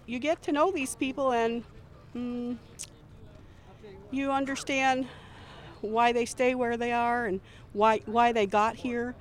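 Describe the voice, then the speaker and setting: A woman's voice: fairly high pitch, fairly even intonation, takes it slowly, pauses often. A middle-aged woman volunteer speaking in an earnest, reflective way in an interview. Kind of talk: interview testimony.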